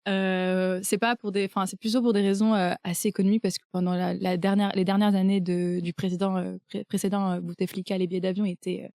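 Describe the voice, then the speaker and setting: Young french woman hosting a chat, mid pitch, lots of euhs, dry close sound. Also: little pitch movement, fast, few pauses, a little mellow, no room sound. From France. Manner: hesitant, frequent fillers. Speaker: podcast host. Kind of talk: casual conversation.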